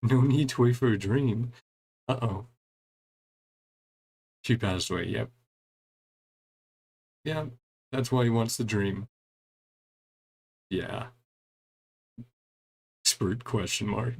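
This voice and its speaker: A man's voice: on the low side, takes it slowly, vocal fry, long pauses, breathy edge. A man giving casual gameplay commentary, reading game dialogue aloud and adding wry, chuckling reactions in a laid-back way. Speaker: gaming streamer doing a playthrough.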